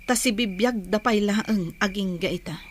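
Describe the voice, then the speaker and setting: A woman's voice: mid-range voice, measured pace, a little hiss or hum, close to an everyday voice. A woman playing a concerned, consoling young confidante in an audio drama. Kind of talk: Filipino radio drama acting.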